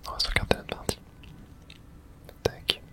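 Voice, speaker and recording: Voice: normal speed, bright, forceful tone. A person whispering breathily while narrating their own video game play in an ASMR style, murmuring reactions and mild swearing.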